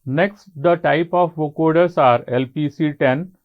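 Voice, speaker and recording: Slow Indian man lecturing, phone-like sound. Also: fairly high pitch. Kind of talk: lecture, explanatory. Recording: muffled, narrow phone-like sound.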